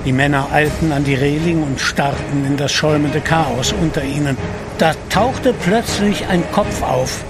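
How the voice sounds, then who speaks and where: A man's voice: fairly high pitch, unhurried. Male storyteller over dramatic music. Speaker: narrator. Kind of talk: scripted dramatic storytelling in a German audio drama. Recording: music behind.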